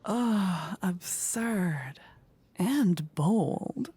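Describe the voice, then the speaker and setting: A woman playing a playful, haughty, easily bored queen in a fantasy ASMR roleplay, soft-spoken and teasing. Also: mid-range voice, breathy edge, full character voice. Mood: amused, regal. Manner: theatrical, teasing, soft-spoken with whispery phrases. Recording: close dry ASMR microphone.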